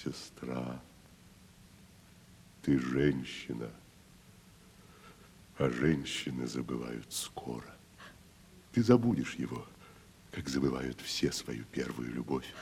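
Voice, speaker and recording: Wandering tragedian: magnanimous, slow, ringing stage declamation, low-pitched, long reverb and music behind. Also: male voice, expressive, slightly rough, full character voice. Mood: tender, solemn. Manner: declamatory, pompous, swelling and hushed. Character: grandiloquent, magnanimous wandering male tragedian actor. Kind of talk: theatrical Russian radio stage acting. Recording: 1970s radio play, reverberant, music behind.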